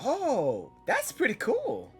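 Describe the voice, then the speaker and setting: A woman giving casual, halting, informal live reactions to a video game as she plays. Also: a little high, huge pitch swings, vocal fry, fairly bright tone, soft background music. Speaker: gaming reaction host.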